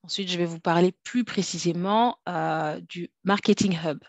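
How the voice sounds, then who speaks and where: A woman's voice: lowish voice, dry recording. A woman from France giving a structured, polite masterclass presentation over a video call. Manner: polite, measured, structured, some fillers. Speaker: guest speaker, trainer.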